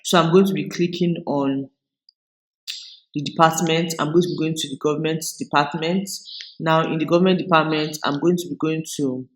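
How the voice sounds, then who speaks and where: Nigerian male host, tutorial voice-over, step-by-step explainer, slightly higher voice. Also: normal speed, rough recording. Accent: Nigerian English. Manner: explains step by step, relaxed, with frequent restarts. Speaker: video host. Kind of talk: screen-recorded tutorial walkthrough.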